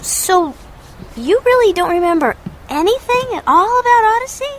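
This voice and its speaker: An actress in a family radio drama playing an earnest, outspoken young woman who speaks haltingly and with open emotion. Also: very high-pitched, expressive, a little hiss or hum. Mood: dismayed.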